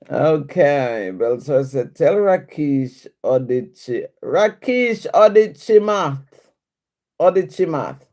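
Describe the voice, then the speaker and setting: A woman's voice: very deep voice, darkish tone. A woman hosting a casual live stream, reading viewer comments aloud and answering them in a chatty way with frequent laughs.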